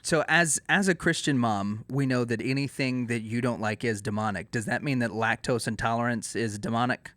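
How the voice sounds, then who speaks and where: A man's voice: medium pitch, moderate pace, hardly any pauses, a bit bright, no echo. A man co-hosting a podcast, asking easygoing, wry questions of a guest. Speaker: podcast co-host. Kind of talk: casual podcast interview. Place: podcast studio.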